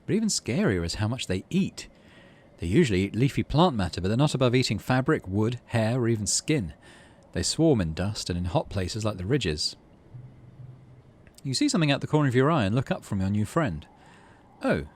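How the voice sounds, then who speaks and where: A man's voice: fairly low pitch, very animated, fairly quick. A man hosting a game playthrough, reading story text aloud expressively and adding casual asides. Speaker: gaming video host.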